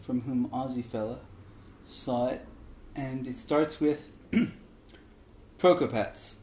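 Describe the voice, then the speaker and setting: A man reading a humorous online thread aloud in an animated way. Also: mid-range voice. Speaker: reader of online posts. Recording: echoey, roomy sound.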